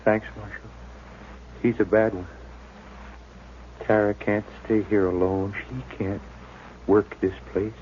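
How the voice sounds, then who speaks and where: An actor playing a dying old frontier father in a radio western, speaking haltingly in a weak, failing voice. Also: on the low side, flat delivery. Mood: weak, pleading. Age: older. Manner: halting, labored, rustic speech. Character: dying, weakened old frontier father, plain-spoken rancher. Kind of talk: 1950s radio western acting. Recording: muffled, noisy old radio recording.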